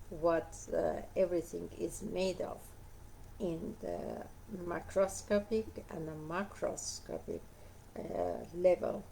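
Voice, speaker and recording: A woman with a hoarse voice reads a nonfiction book aloud, pausing often on "uh" and going back to fix her words. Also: on the low side, warm tone, a little hiss or hum, rough recording. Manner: halting reading with frequent uh fillers and self-corrections. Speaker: book reader.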